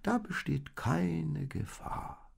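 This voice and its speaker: A wise old sheep, slow and soothing, as a man reads her. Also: fairly high pitch, very animated, gravelly, dark tone, husky, voice pushed up, full character voice. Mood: reassuring. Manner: slow and reassuring. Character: oldest sheep, wise and calming. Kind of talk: storybook reading.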